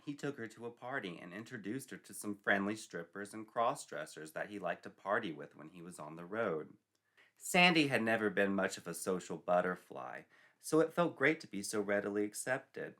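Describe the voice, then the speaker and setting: A man reads a scripted story as its narrator. His voice sits a little low but is bright and forceful. The delivery is theatrical and mock-serious, treating every line as high drama.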